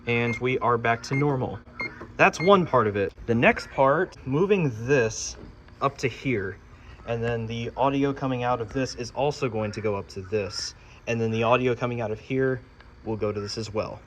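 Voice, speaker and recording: A man's voice: mid-range voice, normal speed, fairly bright tone, slight background noise. A man giving an informal hands-on walkthrough, talking casually as he works. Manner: informal, talking while working with his hands. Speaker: host of a hobby fire alarm channel. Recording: music playing behind the voice.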